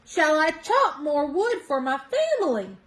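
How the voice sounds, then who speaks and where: A woman's voice: high voice, sing-song, measured pace, fairly bright tone, pitched above the performer's own voice, full character voice. A woman voicing anxious townsfolk in a children's storybook, urgent and imploring. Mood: worried. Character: anxious, pleading townsfolk of a small town.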